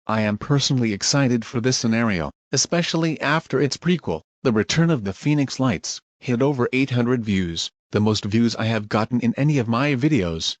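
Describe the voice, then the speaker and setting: A man's voice: mid-range voice, animated, normal speed, few pauses, no room sound. A young man giving a scripted announcement to his viewers as a channel creator.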